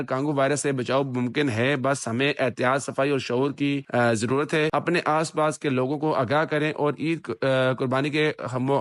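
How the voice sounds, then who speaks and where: A man, hosting his own channel and giving an informal, halting explainer full of "uh" fillers, often ending points with "theek hai". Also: medium pitch, fairly bright tone, no echo, clean studio sound. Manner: halting, many "uh" fillers and restarts. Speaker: channel host. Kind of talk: informal explainer talk to viewers.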